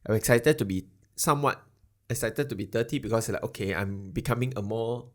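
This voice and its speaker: A man's voice: medium pitch, a little fast. A man, co-hosting a podcast in a rambling, reflective chat. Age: 30s. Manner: rambling, reflective, ends phrases with lah. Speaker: podcast co-host. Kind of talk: casual chat.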